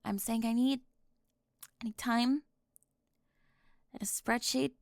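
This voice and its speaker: A woman acting an organized, high-strung young cheerleader in an intimate audio roleplay, flustered, fussy and emphatic yet affectionate. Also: fairly even intonation, slow pace, gravelly, dry recording, clean studio sound. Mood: earnest, flustered.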